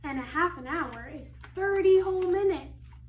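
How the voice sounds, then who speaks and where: High-voiced woman teacher reading, in an echoey room. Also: normal speed. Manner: expressive, teacherly. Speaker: second grade teacher. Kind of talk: read-aloud of a children's story with asides to pupils. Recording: roomy echo, distant mic, faint noise.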